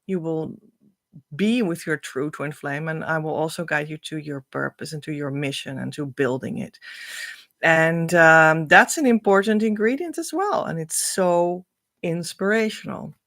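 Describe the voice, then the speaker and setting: Woman, slow unhurried speech, gravelly fry, dry close sound, earnest encouraging coach. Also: low voice, a bit bright, dry recording. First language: Dutch. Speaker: spiritual coach and card reader. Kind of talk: casual card-reading monologue.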